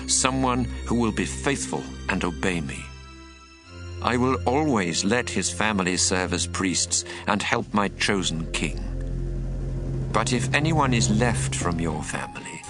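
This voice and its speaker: An actor delivering the stern, authoritative words of God in an audio drama, solemn and measured. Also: male voice, on the low side, expressive. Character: stern, authoritative God condemning through his prophet's message. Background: prominent music.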